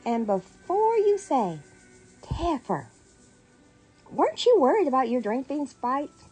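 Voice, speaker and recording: A woman's voice: high voice, big pitch swings, slow pace, a little mellow, low-quality recording. American woman, playful storyteller over music. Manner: playful, sassy, acts out dialogue. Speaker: storyteller, online content creator. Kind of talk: casual personal storytelling to camera. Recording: music playing behind the voice. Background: clear background music.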